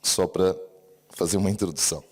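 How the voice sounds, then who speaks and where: Deep-voiced preacher in a reverberant church. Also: male voice, steady tone, fast talker, darkish tone, breathy edge. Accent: Brazilian Portuguese mixed with European Portuguese. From Brazil. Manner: earnest, repeatedly asks the congregation for an "amen". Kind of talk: church sermon. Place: church service hall. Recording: long reverb of a church hall.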